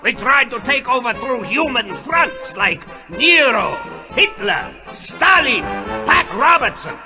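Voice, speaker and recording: High-pitched, pompous vampire leader. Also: male voice, big pitch swings, slow, over music, heavily acted. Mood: commanding. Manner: pompous, declamatory. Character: grandiose menacing male vampire leader rallying his kind. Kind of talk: theatrical audio drama oratory.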